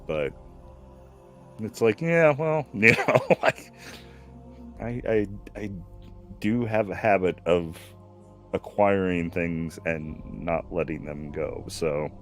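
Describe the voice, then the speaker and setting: A man in his fifties hosting a casual stream chat, slow and reflective, full of fillers and restarts. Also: low voice, faint music behind. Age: 50s. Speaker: stream host.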